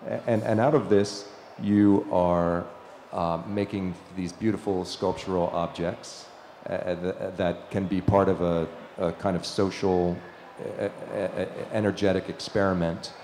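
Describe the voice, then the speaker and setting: A male moderator questioning a speaker on stage, halting and stammering as he talks. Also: low-pitched, steady tone, dark tone. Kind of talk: onstage questioning. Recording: roomy hall with faint noise.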